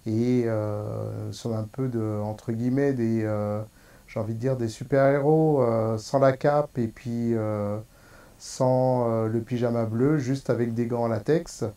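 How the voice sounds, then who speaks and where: Slow, gravelly man with hesitant speech. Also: mid-range voice, steady tone, dark tone. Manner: hesitant, many fillers. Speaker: hospital nurse. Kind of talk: interview answers.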